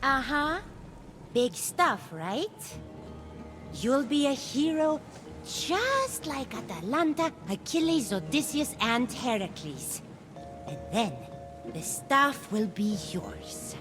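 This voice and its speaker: An actress playing a scatterbrained, blunt and excitable goddess mentor in a video game, halting as she goes. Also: high-pitched, heavily acted. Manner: halting, flighty, childlike enthusiasm. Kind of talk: video game cutscene voice acting. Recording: loud music behind the voice. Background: music.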